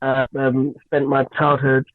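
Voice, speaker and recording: A woman's voice: a bass voice, completely flat delivery, measured pace, dry recording. Giggly young woman on a phone line. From Nigeria and England. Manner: giggly, hesitant with many fillers. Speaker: guest singer. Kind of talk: radio interview, casual chat. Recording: tinny phone-line sound, dry.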